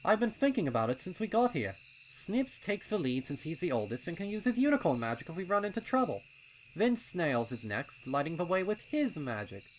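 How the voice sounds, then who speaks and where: A man's voice: fairly high pitch, big pitch swings, fairly quick, background noise, pitched above the performer's own voice. A man voicing a young colt adventurer, an eager and confident little planner, in a story reading. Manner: colloquial, eager explaining.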